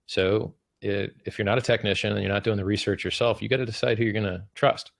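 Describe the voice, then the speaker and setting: Deep, gravelly man hosting a debate. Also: steady tone, quick pace, no room sound, studio quality. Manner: casual, many fillers. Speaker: debate host. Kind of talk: spoken debate, summarizing the other side.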